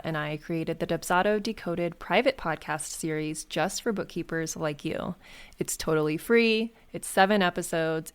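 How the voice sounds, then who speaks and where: A woman podcast host with a soft, soothing, laid-back way of talking, chatting casually with a guest and reading the show's credits and ads. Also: on the low side. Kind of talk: casual chat.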